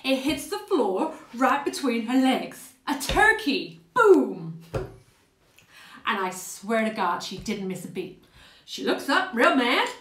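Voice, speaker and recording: A woman acts out a blunt, fond, working-class older woman in a stage monologue. The voice is high and very lively, chatting through old memories. The room echoes around it. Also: slow, a little hiss or hum, full character voice. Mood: amused storytelling. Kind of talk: dramatic stage monologue, conversational storytelling. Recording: roomy, echoing space.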